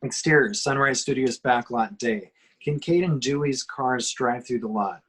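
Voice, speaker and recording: Male action reader, medium pitch, scripted scene narration for an amateur script read-through. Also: moderate pace, a bit bright, breathy edge. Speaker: reader of screenplay action descriptions.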